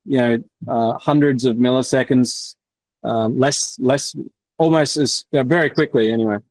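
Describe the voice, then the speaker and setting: A man's voice: medium pitch, fairly even intonation, normal speed, a little mellow, dry recording, rough recording. A man presenting a developer workshop on a video call, explaining casually with many fillers and restarts.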